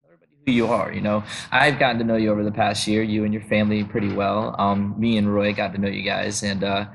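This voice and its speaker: A man's voice: on the low side, little pitch movement, fast talker, creaky, slight background noise, low-quality recording. A man hosting an interview, asking rambling questions with enthusiasm and frequent laughs. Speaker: podcast host, interviewer. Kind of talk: casual interview.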